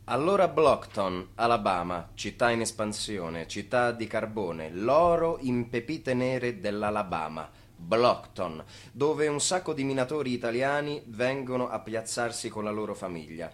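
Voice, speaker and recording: An actor playing the narrator who sets the scenes in a radio play. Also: male voice, mid-range voice, sing-song, unhurried. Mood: evocative. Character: male narrator setting scenes in a stage tale.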